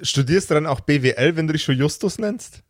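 A man's voice: fairly high pitch, lively intonation, brisk, dry recording, clean studio sound. A man chatting casually as a game master. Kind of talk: casual chat.

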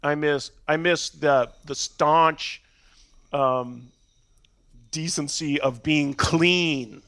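Podcast guest: American man, slightly higher voice, dry sound, loose and rambling conversational delivery. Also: fairly even intonation, drawn-out words, a bit bright, no room sound. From Minnesota, United States. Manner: rambling, peppers talk with "you know". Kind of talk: casual conversational interview.

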